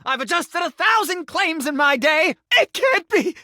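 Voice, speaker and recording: An enchanted male sink in a video game who believes he is a grand claims adjuster. He is pompous and melodramatic, with florid formal diction. His pitch leaps about freely, and the sound is close and dry. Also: expressive, unhurried, bright, forceful tone, no room sound, exaggerated voice. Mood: indignant. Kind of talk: video game character acting.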